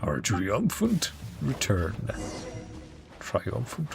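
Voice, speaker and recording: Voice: medium pitch, wildly swooping pitch, drawn-out words, creaky. A streamer reacting to a game in a casual, chatty, rambling way, sometimes reading dialogue aloud. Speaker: gaming streamer reacting to a story quest. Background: game music.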